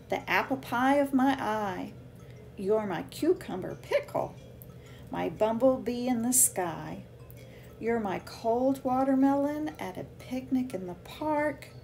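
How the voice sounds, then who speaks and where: A woman reading a children's picture book aloud with expressive, deliberate phrasing for young listeners. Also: on the high side, creaky. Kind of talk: read-aloud children's storytelling.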